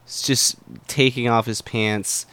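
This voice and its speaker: A man's voice: mid-range voice, flat delivery, takes it slowly, fairly bright tone, clear, resonant tone, no echo. A man co-hosting a podcast, rambling in casual chat full of fillers. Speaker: podcast co-host.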